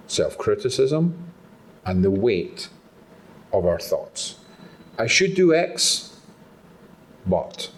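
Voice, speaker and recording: A man from Scotland with a Scottish accent, giving a motivational self-care talk to a live audience at a patient summit and often checking in with rhetorical questions. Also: fairly low pitch. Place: conference room with a live audience.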